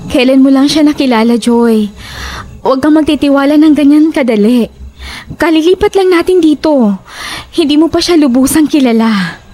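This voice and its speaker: A woman's voice: sits high, moderate pace, long pauses, mellow, close to an everyday voice. A woman acting a frightened, suspicious young woman who pleads insistently with a friend in a horror audio drama. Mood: wary, insistent.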